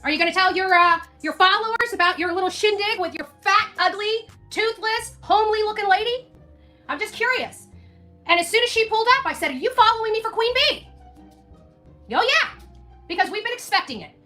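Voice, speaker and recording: A woman's voice: very high-pitched, a little fast, bright, forceful tone, soft background music. A woman livestreamer ranting confrontationally, taunting and repeating her phrases for emphasis.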